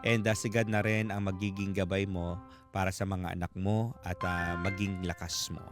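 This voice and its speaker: A Filipino man hosting a radio advice show, speaking conversationally in short, paused phrases. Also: sits low, flat delivery. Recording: guitar music playing behind the voice. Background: guitar background music.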